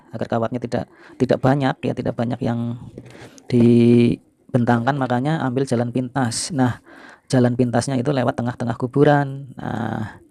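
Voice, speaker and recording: Middle aged guy telling old stories to his viewers, pretty monotone, soft dark tone, dry close sound. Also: mid-range voice, vocal fry, no echo. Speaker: video host and storyteller. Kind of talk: informal reminiscing narration to viewers.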